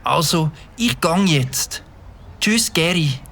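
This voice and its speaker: A naive, eager young beaver from a cartoon with a Swiss German accent. His raised voice swings widely, and he talks slowly, asking wide-eyed questions over a faint hiss. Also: a little high, animated, gravelly, a bit bright, heavily acted. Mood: worried.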